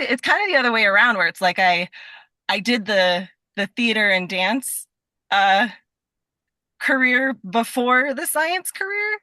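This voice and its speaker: A woman's voice: medium pitch, dry recording. A woman talking casually as an interview guest, telling stories in a chatty, giggly way. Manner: chatty, animated storytelling with quoted asides; giggly, breaks into laughter. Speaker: podcast guest.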